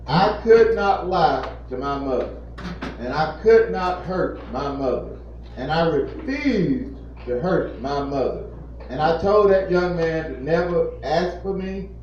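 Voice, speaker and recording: Earnest fifty-something man in an echoey room. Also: a little high. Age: 50s. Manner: earnest, repetitive, preacherly emphasis. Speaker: plant manager speaking to children. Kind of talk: informal motivational talk to kids. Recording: echoey, distant, muffled room recording with faint noise.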